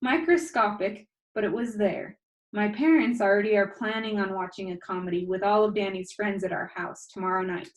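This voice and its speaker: A woman's voice: medium pitch, normal speed, reverberant, close to an everyday voice, low-quality recording. A woman voicing a guarded, sad but kind schoolgirl in a storybook, terse and firm. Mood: reluctant agreement.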